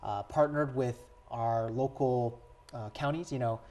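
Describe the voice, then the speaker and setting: An American man in his thirties speaks at a mid pitch, answering interview questions fluently and steadily. He sounds composed and explains things clearly. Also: a little slow, darkish tone. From United States. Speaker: school board member.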